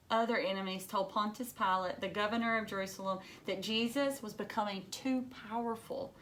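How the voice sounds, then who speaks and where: A woman's voice: mid-range voice, steady tone, normal speed, fairly bright tone, slight background noise. A woman reading a children's book aloud like a teacher, explaining things simply and adding chatty asides addressed to young listeners. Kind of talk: read-aloud storybook narration with chatty asides.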